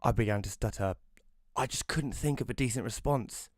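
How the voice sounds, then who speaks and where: A man's voice: medium pitch, moderate pace, no room sound, clean studio sound, close to an everyday voice. A man playing a self-doubting young magazine columnist who narrates an audio drama in a wry, hesitant manner. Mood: wry narration. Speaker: narrator and lead character. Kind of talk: first-person audio drama narration and dialogue.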